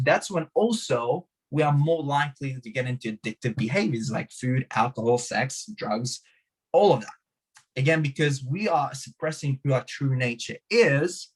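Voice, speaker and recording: A man's voice: mid-range voice, breathy edge, rough recording. A young man from Hungary with a Hungarian accent, a podcast guest talking with passion about life choices, swearing for emphasis and coming back to his motto. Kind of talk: motivational interview talk.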